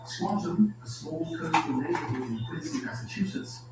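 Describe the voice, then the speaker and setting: A man reading scripted documentary narration in an even, informative way. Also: fairly high pitch, moderate pace, distant mic. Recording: echoey video playback from off-mic with music.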